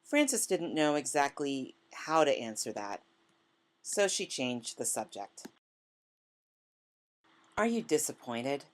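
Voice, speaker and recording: A woman reading literary fiction aloud as scripted narration, in a measured manner. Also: very deep voice, sing-song. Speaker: author reading a short story.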